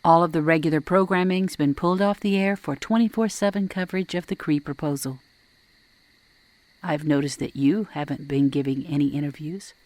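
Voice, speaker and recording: A woman playing a supportive, hopeful wife in an audio drama, earnest and gently persuasive. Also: low voice, normal speed, dry recording, natural voice. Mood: calm, curious. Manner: reassuring, earnest.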